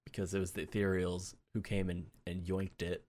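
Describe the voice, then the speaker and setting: A man's voice: deep voice, little pitch movement, normal speed, darkish tone. A man co-hosting a gaming podcast, chatting casually and breaking into laughter often. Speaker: podcast co-host.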